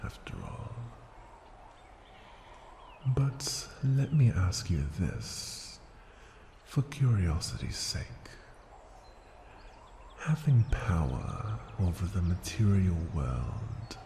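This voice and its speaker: An ancient, imperious dragon rumbles in a very low, gravelly register. The speech is formal, unhurried and intrigued, as in a fantasy ASMR roleplay. It rings through long cavernous reverb. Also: male voice, sing-song, warm tone, heavily acted. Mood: seductive, intrigued. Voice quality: very deep, gravelly, breathy at times. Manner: slow, formal, deliberate, imperious. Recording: cavernous long reverb, faint ambient noise.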